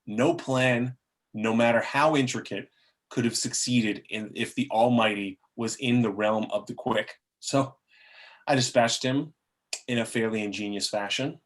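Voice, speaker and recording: A man's voice: mid-range voice, steady tone, a little slow, lightly acted. A man playing a bitter, scheming male demon and fallen muse in a script table read, speaking in theatrical, grandiose monologue with the odd stumble. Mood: gloating. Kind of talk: amateur table reading of a film script.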